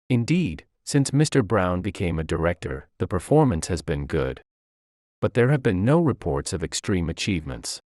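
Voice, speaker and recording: A man reading a scripted first-person story aloud, dialogue included, in a measured and formal way. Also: low voice, darkish tone, no room sound, studio quality. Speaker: story narrator.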